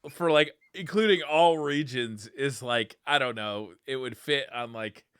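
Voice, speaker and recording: A man co-hosting a gaming podcast in casual chat, halting and repeating words, with "like" sprinkled throughout. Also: medium pitch, a bit bright, clean tone, dry recording, studio quality. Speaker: podcast co-host.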